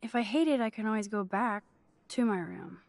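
An actress playing a shy, wry teenage girl in a video game, soft-spoken and dryly sarcastic. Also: a little high, brisk, dry recording, lightly acted.

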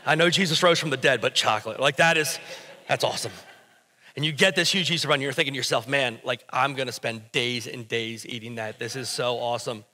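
A man's voice: medium pitch, brisk, bright, forceful tone. An American man in his thirties preaching a sermon as pastor, emphatic yet conversational, repeating phrases to drive them home. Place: stage of a church auditorium, indoors. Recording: reverberant hall sound.

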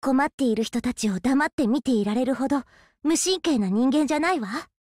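A woman's voice: high-pitched, a little fast, a little mellow, no room sound, clean studio sound. An actress playing a sweet, caring teenage healer girl in a fantasy game, with a girlish, polite manner. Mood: earnest, caring. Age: young adult. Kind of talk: Japanese RPG character voice lines.

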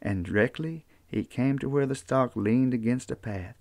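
An older man with an Appalachian accent, reading a children's storybook aloud in an unhurried, folksy way. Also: mid-range voice, a little mellow, dry recording. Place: small book-lined room indoors.

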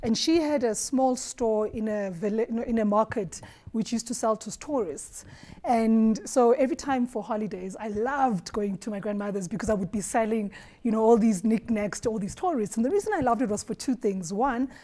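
A woman from Eswatini on a studio panel, telling stories in an animated way and laughing often, recorded on a lapel mic. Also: on the high side, moderate pace. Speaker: marketing executive panelist. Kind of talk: roundtable discussion. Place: dark studio set at a round table.

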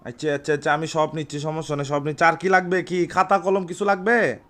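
A man's voice: on the high side, little pitch movement, a little fast, a bit bright. A young Bangladeshi man with a Chittagong accent talking informally on a prank phone call, repeating himself and boasting. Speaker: prank caller playing an older brother.

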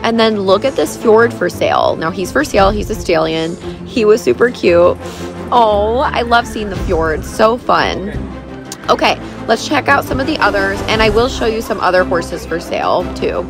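Woman, thirties, enthusiastic vlogger, casual narration, medium pitch, music in the background. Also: expressive, normal speed. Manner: gushing, enthusiastic. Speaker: vlogger and horse owner.

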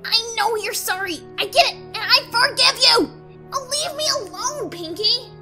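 A woman voicing a cocky, blunt young female pegasus pony in an animated radio play. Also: very high-pitched, unhurried, loud music behind the voice. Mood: exasperated. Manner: boastful, blunt, exasperated.

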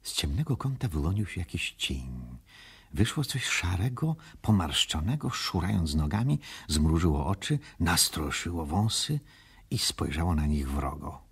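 An actor narrating a children's radio play in a scripted storybook style. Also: male voice, low-pitched, big pitch swings, unhurried, gravelly, husky, exaggerated voice. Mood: measured, suspenseful. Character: grave old-style storybook narrator, adult man.

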